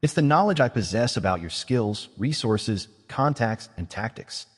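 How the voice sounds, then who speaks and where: A man's voice: lowish voice, expressive, normal speed, slightly rough, big-room sound. A man voicing a vain, playful witch woman who has been made young again, teasing and self-satisfied. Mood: smug.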